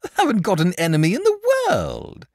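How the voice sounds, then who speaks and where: A man's voice: high voice, wildly swooping pitch, a little fast, creaky, no echo, studio quality, voice pushed up, exaggerated voice. A man voicing a genial, bashful male dragon who is a lazy poet, talking in a chatty, polite, eager way. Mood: cheerful.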